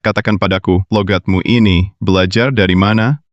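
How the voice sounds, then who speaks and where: A man's voice: deep voice, fairly even intonation, normal speed, dry recording, dull audio, close to an everyday voice. A young man voicing a curt, commanding company president in a dubbed drama. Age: young adult.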